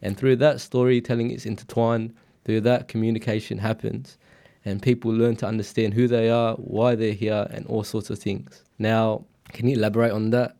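Male interviewer, lowish gravelly voice, dark tone, calm and encouraging. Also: moderate pace. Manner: calm, encouraging, frequent short acknowledgements. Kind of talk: casual interview questions.

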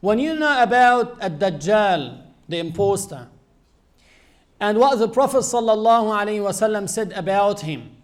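A man giving an Islamic sermon in a measured, earnest teaching manner with frequent pauses. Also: sits high, lively intonation. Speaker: Islamic preacher.

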